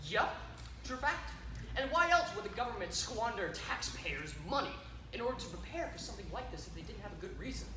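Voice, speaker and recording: A woman's voice: medium pitch, very animated, a little fast, natural voice. A woman playing an earnest, self-important presenter of a zombie survival announcement on stage, mock-serious and declamatory. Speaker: presenter narrating the play. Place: stage in a hall. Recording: echoey stage recording.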